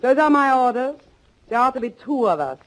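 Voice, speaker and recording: An actress playing a bereaved, steely young bride bent on revenge, with dramatic, measured delivery in 1940s radio thriller style. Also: female voice, high voice, very animated. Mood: cold, resolute. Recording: noisy old 1940s radio recording.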